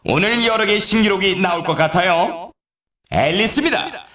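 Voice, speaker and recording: A man playing an excitable video game snowboard race announcer, with an emphatic, showy broadcast delivery. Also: sits high, normal speed, reverberant. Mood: excited, anticipating. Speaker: sports announcer. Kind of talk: scripted sports commentary for a snowboard video game.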